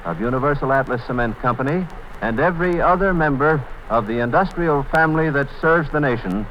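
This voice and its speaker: A man's voice: fairly quick, slight background noise. Man reading an old radio ad. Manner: earnest, steady pitch-reading. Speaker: sponsor announcer. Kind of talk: read commercial. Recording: dull lo-fi 1950s radio broadcast.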